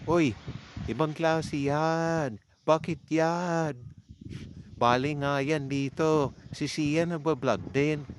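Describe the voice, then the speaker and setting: A man's voice: a little high, fairly even intonation, slow pace. A man with a Filipino accent narrating a vlog in a casual, chatty way, speaking straight to his viewers. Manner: informal, chatty, addresses viewers. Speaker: vlogger. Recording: clearly noisy amateur recording.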